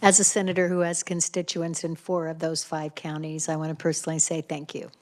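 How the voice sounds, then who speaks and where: A woman senator runs a committee hearing in a brisk, direct way. Her voice sits on the low side for a woman. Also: level tone. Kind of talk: chairing a hearing.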